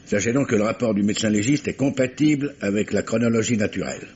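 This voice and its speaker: An actor playing a dogged, polite but insinuating police commissioner who probes with stammering courtesy, in vintage French radio mystery style. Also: male voice, lowish voice, quick pace, darkish tone, natural voice. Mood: dry, needling. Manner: hesitant stammering courtesy, probing. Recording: dull vintage radio recording, faint hiss.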